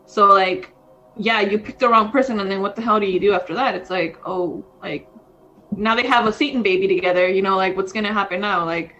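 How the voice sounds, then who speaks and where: A woman's voice: medium pitch, brisk, sharp tone, dull audio. Rambling thirty-something woman, casual chat. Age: 30s. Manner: rambling, frequent fillers, says dude. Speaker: podcast co-host.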